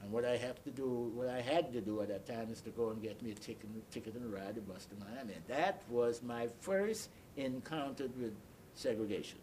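An elderly man recalls his life in an interview, speaking as a deliberate, halting storyteller who repeats and explains. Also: medium pitch, darkish tone, slight background noise, poor audio. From Bahamas. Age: 80s or older. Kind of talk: oral history recollection.

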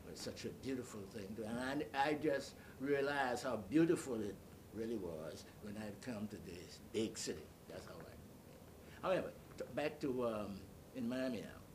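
Older male interviewee, halting storyteller, mid-pitched voice, noticeable rumbling noise on the recording. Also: animated, a little mellow. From Bahamas. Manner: storytelling, halting, searching for words. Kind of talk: oral history reminiscence. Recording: clearly noisy, low rumble behind.

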